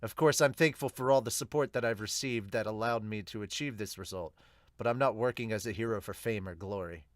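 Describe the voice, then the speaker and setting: A man's voice: lowish voice, no echo, natural voice. Modest male ninja superhero, played by a man, grave and formal, dry amateur comic dub. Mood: solemn. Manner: formal, measured.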